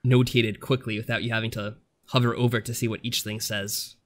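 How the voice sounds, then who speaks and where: A man co-hosting a podcast, explaining things casually in a measured, mild and hedging manner. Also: lowish voice, little pitch movement. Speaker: podcast co-host.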